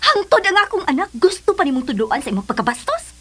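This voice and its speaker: A woman's voice: very high voice, big pitch swings, quick pace, heavily acted. An actress with a Cebuano Filipino accent playing a stern, protective mother and businesswoman who scolds sharply in a radio drama. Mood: indignant. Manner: sharp scolding, mixes in English.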